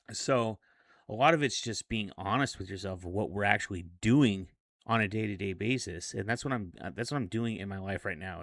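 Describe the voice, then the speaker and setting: Man, forties, lowish voice, earnest halting delivery, casual vlog monologue, dry close sound. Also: fairly bright tone, no echo. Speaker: self-improvement vlogger.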